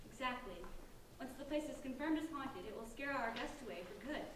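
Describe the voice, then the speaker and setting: A woman playing a bossy, sharp-minded teenage girl in an amateur staged reading, recorded in an echoey room. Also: high voice, lively intonation, brisk. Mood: reasoning. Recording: very echoey room, distant mic, faint noise.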